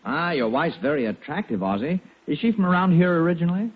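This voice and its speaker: An actor playing a forgetful, embarrassed, apologetic man in a 1940s radio comedy, speaking haltingly. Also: fairly high pitch, sing-song, slightly rough. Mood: friendly. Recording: muffled, faintly noisy 1940s radio recording.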